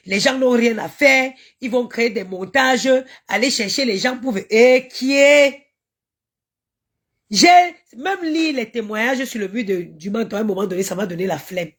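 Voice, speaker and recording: A woman's voice: on the high side, normal speed. A woman from Cameroon, ranting indignantly on a live stream, stammering and chanting invocations. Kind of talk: impassioned live-stream rant.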